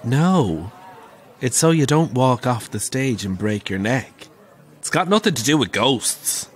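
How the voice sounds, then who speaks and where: An actor playing a camp, wry, middle-aged Irish pantomime dame who relishes telling grisly ghost stories in an audio drama. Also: male voice, medium pitch, lively intonation, a little slow, lightly acted. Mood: teasing, theatrical. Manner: theatrical, wry, relishing the tale.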